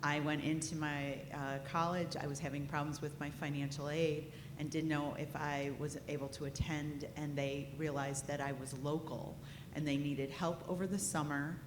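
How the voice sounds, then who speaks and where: A woman's voice: low-pitched, flat delivery, moderate pace. A woman in her fifties with a strong Midwestern accent, introducing herself chattily as a financial aid trainer. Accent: Midwestern American. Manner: chatty, self-deprecating. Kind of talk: self-introduction at a conference. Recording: echoey conference hall with noise.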